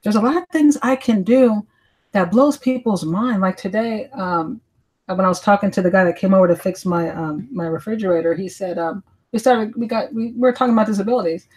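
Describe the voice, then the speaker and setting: A woman in her fifties chatting casually as a panelist, telling personal stories in a folksy, halting way with repeated phrases. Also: mid-range voice, a little mellow, husky, rough recording. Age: 50s. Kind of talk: casual conversation, personal storytelling.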